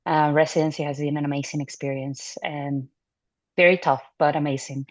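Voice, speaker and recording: A woman in her thirties from Venezuela, a neurology resident, answering interview questions in a relaxed, thoughtful way with fillers and restarts. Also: sits low, moderate pace, dry recording. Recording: muffled dry audio.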